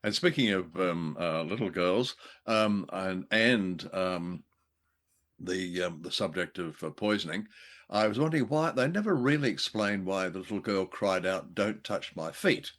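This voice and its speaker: A man with a fairly low voice co-hosts a review show. He talks in a casual, conversational style, feeling his way through thoughts with pauses and hesitant phrasing. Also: lively intonation, a bit bright. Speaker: co-host of an old-time radio review show.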